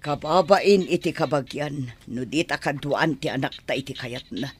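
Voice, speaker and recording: A woman playing a proud, fussy middle-aged mother in a radio drama, with an Ilocano accent and drawn-out, expressive delivery. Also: low-pitched, slightly rough. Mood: disapproving.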